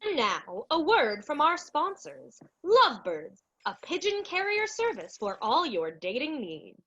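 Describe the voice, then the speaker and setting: A young woman narrates in old-time radio announcer style. Her voice is high and swoops with animation, and the recording is a little muffled. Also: huge pitch swings, unhurried, pushed and bright. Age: young adult. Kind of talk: scripted 1940s radio narration and ad.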